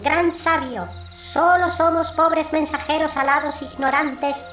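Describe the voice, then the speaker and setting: A woman's voice: high voice, fairly even intonation, slow, a bit bright, soft background music. A woman voicing a giant owl messenger, solemn and deferential, with formal, ceremonious speech in an audiobook. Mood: humble, reverent. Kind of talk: audiobook character acting. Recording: roomy echo, noisy background.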